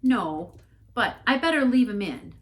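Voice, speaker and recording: Woman playing a brash, high-pitched bossy girl. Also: big pitch swings, measured pace. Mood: stubborn. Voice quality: barking. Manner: blunt, stubborn. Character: brash, defiant, bossy young tough girl.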